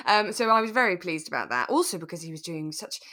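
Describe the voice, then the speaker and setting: A British woman co-hosting a relaxed podcast in casual chat, with a giggly, chatty manner. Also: on the low side, fast talker. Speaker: podcast host.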